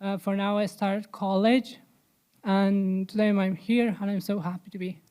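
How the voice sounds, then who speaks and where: Young woman, warm and smooth, careful halting English. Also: medium pitch, flat delivery. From Afghanistan. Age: young adult. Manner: careful, repeating words while searching. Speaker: student panelist.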